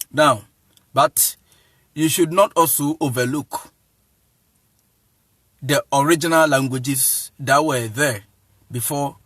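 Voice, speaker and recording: A man's voice: fairly high pitch, a bit bright, no room sound. A man with a Ghanaian accent giving an informal lecture to viewers in an emphatic, repetitive way, phrase by phrase. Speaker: online evangelist and teacher.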